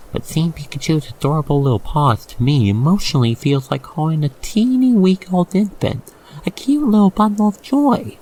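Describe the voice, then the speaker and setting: Male ASMR roleplay narrator. Medium pitch, very creaky texture, theatrical storytelling aimed straight at the listener. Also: poor audio.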